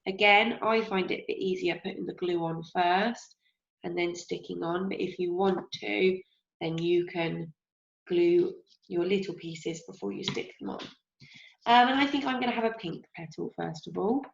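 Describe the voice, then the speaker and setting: A young woman teacher with a slightly croaky voice, gently leading a craft lesson for young children and asking them questions as she goes. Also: on the low side. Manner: slow, encouraging, asks children questions. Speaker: primary school teacher. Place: home kitchen, indoors. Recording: roomy, dull lo-fi sound.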